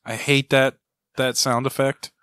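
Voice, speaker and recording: A male co-host chimes into a casual panel discussion. His voice is crisp-edged and bright at medium pitch, recorded dry. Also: level tone, measured pace, dry recording. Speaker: podcast co-host.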